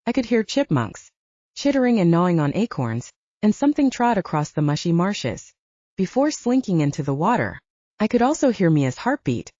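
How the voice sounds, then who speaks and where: A woman reads a first-person audiobook story in a mellow, fairly low voice. Her pitch moves around a great deal as she narrates. The recording is a little dull. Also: sing-song, normal speed, dry recording.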